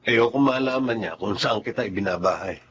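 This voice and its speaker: A man's voice: lowish voice, a little fast, a bit bright, slightly rough. An actor playing a married man who keeps a mistress, speaking in a coaxing, sly way in a radio drama. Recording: slightly dull, lo-fi sound.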